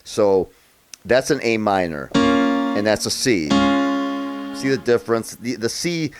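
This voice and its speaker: A man from upstate New York giving a relaxed, chatty piano lesson, thinking out loud as he plays by ear. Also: fairly low pitch, takes it slowly. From Rochester area, New York, USA. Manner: relaxed and chatty, thinks aloud while playing. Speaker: piano tutor. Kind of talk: casual play-by-ear piano tutorial. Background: loud piano playing.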